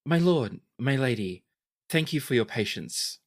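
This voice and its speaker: A woman's voice: extremely low pitch, animated, measured pace, heavily acted. A woman voicing a nervous, apologetic military clerk in a tabletop game, hesitant and formal.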